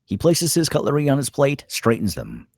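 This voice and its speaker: A man reading stage directions as a narrator at a script table read, in a terse, measured dramatic style. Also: mid-range voice, wildly swooping pitch, a little mellow, no room sound, studio quality.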